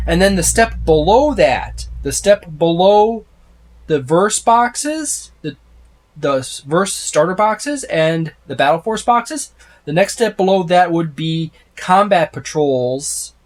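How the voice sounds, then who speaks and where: A man in his thirties hosting a tabletop gaming video from a room full of game shelves, rambling through a rulebook with many fillers and restarts. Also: on the high side. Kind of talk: casual book overview. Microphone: special microphone, close.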